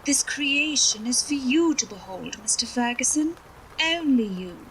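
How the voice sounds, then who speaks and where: A woman's voice: high-pitched, lively intonation, unhurried, fairly bright tone, slight background noise, heavily acted, low-quality recording. An actress playing a mysterious, imperious woman with veiled menace and a formal, theatrical manner in a noir audio drama.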